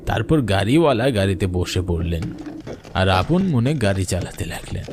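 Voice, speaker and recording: A man's voice: sits low, very animated, moderate pace, background noise. A man narrating a ghost story in the first person, in a dramatic storytelling style. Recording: night crickets and insects behind.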